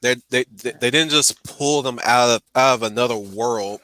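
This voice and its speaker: A man's voice: medium pitch, normal speed, sharp tone. A man chatting casually as a co-host on an online stream call. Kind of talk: casual chat.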